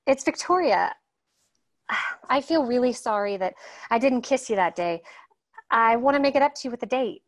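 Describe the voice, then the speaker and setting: A woman's voice: fairly high pitch, expressive, moderate pace, close to an everyday voice. A woman playing a sweet, forward radio caller in a comedy table read. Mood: coy.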